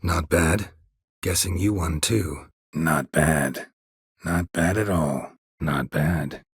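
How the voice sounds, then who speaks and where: A man playing a gruff, stoic, sardonic monster-hunting mercenary in a video game, terse and deadpan with a gravelly voice. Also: rumbling low, slow, no room sound, clean studio sound, full character voice. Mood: dry, guarded. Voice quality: gravelly, husky. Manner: terse, deadpan, clipped sentences. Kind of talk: video game dialogue acting.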